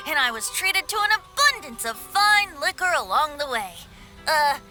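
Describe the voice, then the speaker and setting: A woman's voice: high-pitched, sharp tone, heavily acted, poor audio. A woman playing a playful, mischievous young male bard in a video game, theatrical and lilting. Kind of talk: video game cutscene acting.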